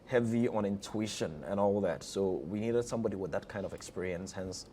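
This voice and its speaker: Low, mellow Ghanaian man in interview. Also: a little fast, slight background noise. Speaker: theatre director being interviewed. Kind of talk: conversational interview talk.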